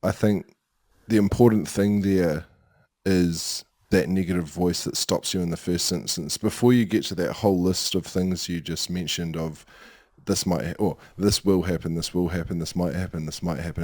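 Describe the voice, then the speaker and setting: A man hosts a podcast in a deep voice, working through long questions with thoughtful pauses. He speaks in a measured, reflective way. The recording is dry and close. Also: steady tone, a little mellow, no echo. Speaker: podcast host. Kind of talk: interview questions.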